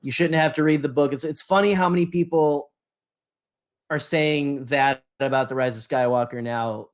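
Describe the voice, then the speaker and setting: A man's voice: a little high, fairly even intonation, normal speed. A man co-hosting a podcast in a casual fan discussion, rambling enthusiastically, often trailing off and repeating his words. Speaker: podcast co-host.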